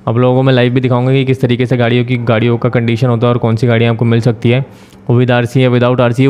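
A man talking through a screen-share tutorial as the channel host, explaining step by step with frequent filler pauses. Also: medium pitch, a little mellow, smooth.

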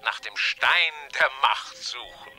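A mad scientist villain from a science-fiction radio play. He is very bright and sharp, slow and hugely animated, gloating with theatrical politeness and sneering menace. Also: sits high, exaggerated voice. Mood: smug threat. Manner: theatrical, mock-polite, sneering, with drawn-out words. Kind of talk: 1980s science-fiction audio drama acting.

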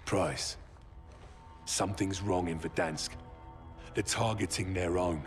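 An actor playing a stoic, distrustful commando in a military game, speaking in a terse deadpan. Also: male voice, deep voice, slow. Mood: grim, urgent. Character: stoic masked male British commando, curt and distrustful. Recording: music under the voice.

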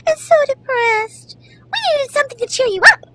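A woman voicing a tiny, excitable, childlike fairy-like creature in a fantasy audio drama. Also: extremely high pitch, moderate pace, very noisy. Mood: earnest. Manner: gleeful, childlike, eager.

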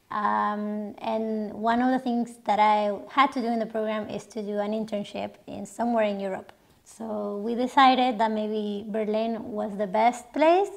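Young woman, chatty host, a touch high-pitched, camera a few feet off. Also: vocal fry, darkish tone. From Mexico, raised partly in Canada. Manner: chatty, laughs often, many restarts. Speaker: channel host. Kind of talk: casual Q&A chat. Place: living room sofa, indoors. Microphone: camera a few feet away.

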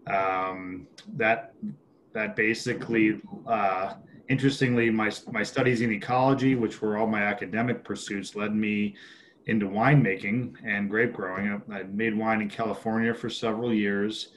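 A man in his forties with a low voice introduces himself on a video call. He speaks hesitantly, with many fillers, and a faint background hiss sits under his voice. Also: fairly even intonation, normal speed. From born in Chicago, raised in Boston. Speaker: winemaker. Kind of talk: casual webinar introduction.

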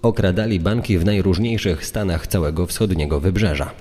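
A man's voice: deep voice, brisk, warm tone. A man reading scripted documentary narration in a recording with long reverb. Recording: long reverb over background music.

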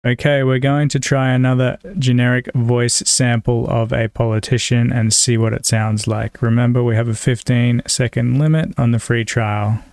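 A man with an Australian accent, giving a casual software tutorial as a voice-over. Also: mid-range voice, flat delivery, normal speed, hardly any pauses, clear, resonant tone, dry recording, studio quality. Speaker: tutorial presenter.

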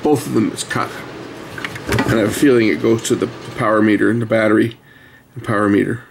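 A man's voice: fairly low pitch, fairly even intonation, a little fast, gravelly. Man explaining casually over background noise. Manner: explanatory, conversational. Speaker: repair video host. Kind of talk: casual hands-on repair commentary. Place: indoor workbench. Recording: noisy room recording.

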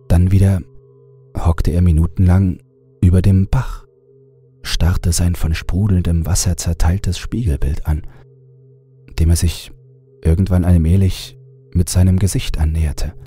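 A male narrator reads a scripted horror story. His voice is very deep and heavily husky, with a dark, mellow colour. Faint music plays behind him.

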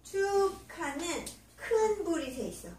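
A woman in her thirties teaching English to young children in a small classroom, asking patient coaxing questions and stretching out English words slowly, sometimes singing song lines. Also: very high-pitched, lively intonation, a little mellow. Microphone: far camera. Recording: roomy, distant, faint hiss.

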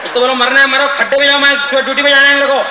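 A man voicing a pompous stage actor who plays the hero Ram in a village play, shouting his lines melodramatically. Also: very high-pitched, level tone, rapid-fire, distant mic, pitched above the performer's own voice. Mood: exasperated. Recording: echoey live stage recording from afar, noisy.

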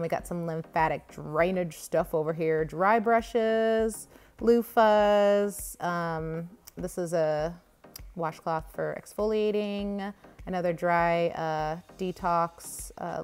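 Low-pitched woman, chatty and enthusiastic. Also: clean tone. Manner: enthusiastic, gushing over products. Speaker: vlogger giving a grocery haul tour. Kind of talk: casual chatty shopping vlog. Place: inside a grocery store, walking the aisles. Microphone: handheld phone behind the camera. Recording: store ambience noise, faint music behind.

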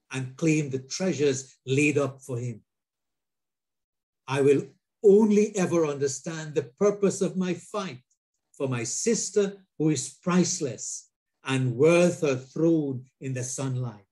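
Older man, slow and mellow. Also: on the high side. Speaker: session moderator. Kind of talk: formal reading and introduction. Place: online video call, indoors. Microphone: earphone headset.

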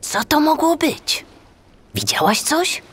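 An actress playing an anxious, sensible seal cousin in a children's audiobook, worried and questioning. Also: female voice, sits high, wildly swooping pitch, takes it slowly, a bit bright, full character voice. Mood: puzzled.

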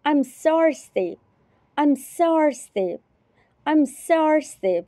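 A woman teacher repeating short phrases slowly and carefully in a repetition drill. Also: high voice, lively intonation, warm tone, dry recording, clean studio sound. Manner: repeats each phrase three times, carefully.

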